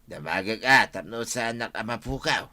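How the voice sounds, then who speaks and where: An actor playing a boisterous, tipsy village husband in an Ilocano comedy radio drama, with an Ilocano accent. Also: male voice, fairly low pitch, lively intonation, moderate pace, sharp tone.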